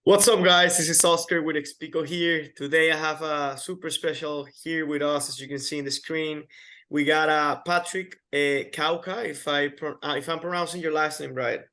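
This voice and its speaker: A man's voice: fairly high pitch, fairly even intonation, normal speed, sharp tone. A man hosting an interview, upbeat and chatty, often restarting his questions. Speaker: host.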